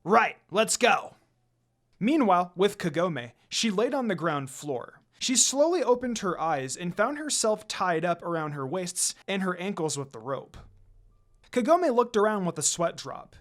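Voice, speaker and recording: A man narrating fan fiction as an expressive storyteller. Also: high voice, normal speed.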